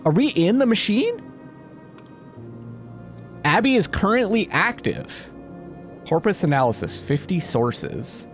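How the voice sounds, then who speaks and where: Man, lively swooping pitch, let's play host, thinking aloud, exclamatory, music underneath. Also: slow pace, long pauses. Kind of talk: gameplay commentary, reading on-screen text aloud. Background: atmospheric game music.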